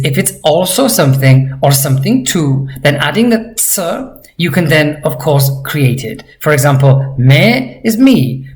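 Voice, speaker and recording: A man teaching a language lesson, explaining vocabulary patiently and repeating words slowly for practice. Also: fairly high pitch, rough recording.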